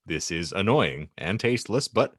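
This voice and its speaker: A man hosts a casual comedy podcast with theatrical flair. His voice is fairly low but extremely animated, and it sounds dry and close as he chats and reads aloud. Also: normal speed, husky, no room sound. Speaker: podcast host.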